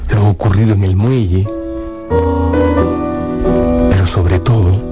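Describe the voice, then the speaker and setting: A man narrating a dramatised literary story for radio in a measured storytelling manner. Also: sits low, fairly even intonation. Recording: music bed under the voice. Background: music.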